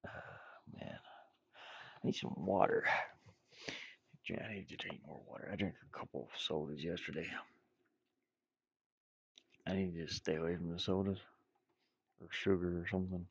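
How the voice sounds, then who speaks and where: A man's voice: low-pitched, steady tone, normal speed, creaky, long pauses, husky, dry recording, dull audio. A man giving casual, rambling commentary while he works on game art, muttering playfully to himself and repeating words. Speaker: indie game developer streaming.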